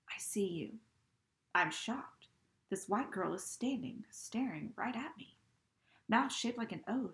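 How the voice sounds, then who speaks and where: A woman reading a first-person novel aloud, with expressive, dramatic delivery. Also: mid-range voice, echoey room. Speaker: reader.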